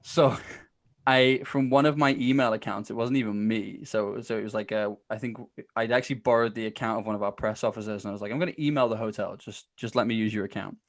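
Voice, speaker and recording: A man's voice: on the low side, steady tone, brisk, no echo, studio quality. A man speaking as a podcast guest in a casual, chatty storytelling style, acting out bits of conversation as he goes. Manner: chatty, informal, acts out quoted exchanges.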